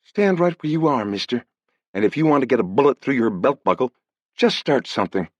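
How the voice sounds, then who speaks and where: A man's voice: mid-range voice, expressive, brisk, no echo, voice pushed up, natural voice. A man voicing a wary, bossy young woman on a frontier ranch. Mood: hostile. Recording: dull lo-fi dry audiobook recording.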